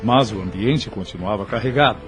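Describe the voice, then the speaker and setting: A man's voice: fairly low pitch, fairly quick, a bit bright, faint music behind. A man narrating a scripted audio drama.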